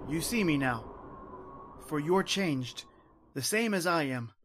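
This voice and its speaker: A man's voice: fairly high pitch, animated, takes it slowly, a bit bright, slight background noise. A man playing a doomed, tormented uncle in an old-time radio horror drama, with grave, pleading melodrama. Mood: grave despair. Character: doomed, tormented uncle trapped in a haunted shadow world.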